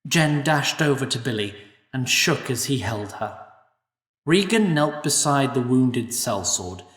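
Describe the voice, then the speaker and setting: A male narrator who takes his time, his voice rising and falling in a lively way. He sits a bit back from the mic in a roomy space that echoes. The delivery is scripted. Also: mid-range voice, measured pace. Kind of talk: scripted audio-drama narration. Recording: echoey room, distant mic.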